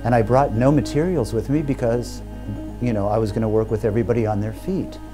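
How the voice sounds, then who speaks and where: A man's voice: medium pitch, fairly even intonation, fairly quick, over music. A man, a professor, telling a story reflectively in an interview. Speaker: theater professor.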